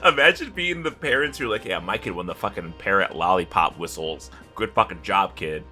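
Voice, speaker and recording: A man with a low voice and a forceful, bright edge chats casually as a podcast co-host. His intonation swings widely as he banters. He is jokey and profane, tossing in mock quotes. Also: lively intonation, fairly quick, faint music behind.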